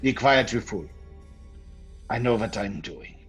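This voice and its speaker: An actor playing a stern, authoritative doctor of the supernatural, grave and deliberate, in an old-time radio drama. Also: male voice, fairly low pitch, lively intonation, fairly bright tone, breathy edge, faint music behind, full character voice. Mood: sharp, urgent. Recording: muffled old radio recording.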